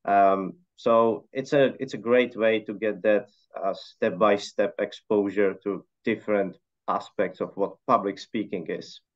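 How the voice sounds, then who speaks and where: Low-voiced man on a dull line. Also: a little slow. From Czech Republic. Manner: relaxed, hesitant with many fillers. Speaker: interview guest. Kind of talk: casual conversational answers.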